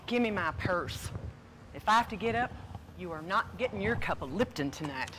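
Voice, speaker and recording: A woman's voice: mid-range voice, lively intonation, moderate pace, a bit bright, a little hiss or hum, close to an everyday voice, low-quality recording. A woman playing a bossy, crabby old elder sister in a comedic stage play, scolding bluntly in folksy phrases.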